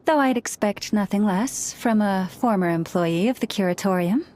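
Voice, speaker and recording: A woman voicing a composed, observant lady in an anime video game, with a measured, polished delivery. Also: medium pitch, darkish tone, close to an everyday voice. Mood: coolly impressed.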